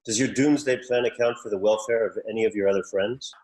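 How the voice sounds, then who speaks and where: Male actor as formal interrogator for sitcom read. Low-leaning pitch, deadpan, stern, procedural. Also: quick pace, natural voice, rough recording. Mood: stern, probing. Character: stern formal male interrogator running lie-detector questioning. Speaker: actor at a virtual table read.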